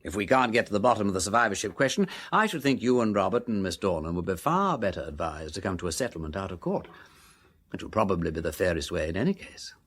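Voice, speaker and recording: An actor playing an aristocratic English gentleman detective in a radio drama, with a husky voice, an affected chatty drawl and an upper-class English accent. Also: low voice, very animated, quick pace, full character voice. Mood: casual, reassuring. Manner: affected silly-ass drawl with tag questions. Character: aristocratic gentleman sleuth, breezy, chatty, shrewd underneath.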